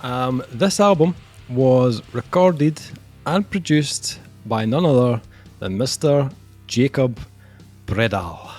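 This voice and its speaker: Man halting podcast reviewer. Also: medium pitch, animated, a little mellow, faint music behind. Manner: halting, lots of ums, jokey self-mockery. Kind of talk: casual review chat.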